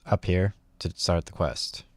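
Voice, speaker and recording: Very low-voiced man casually narrating gameplay. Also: unhurried, no room sound, studio quality. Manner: rambling, thinking aloud. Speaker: gaming video host.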